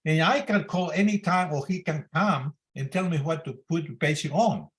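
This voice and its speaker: A man's voice: a little high, normal speed. An elderly man, a senior surgeon, commenting informally at a medical discussion in loosely built non-native English. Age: 70s to 80.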